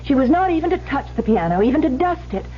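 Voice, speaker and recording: An actress playing an anxious, devoted wife in a radio drama, genteel and solicitous in manner. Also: female voice, high-pitched, big pitch swings, fast talker. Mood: flustered. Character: anxious, devoted wife of a wealthy invalid musician. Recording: dull lo-fi radio recording with hum.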